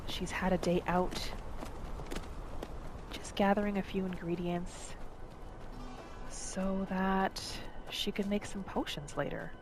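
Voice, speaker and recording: A woman gently narrating a relaxing game roleplay in a soft, soothing manner. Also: mid-range voice, fairly even intonation, slow, long pauses. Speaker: gameplay narrator. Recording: voice over loud game music.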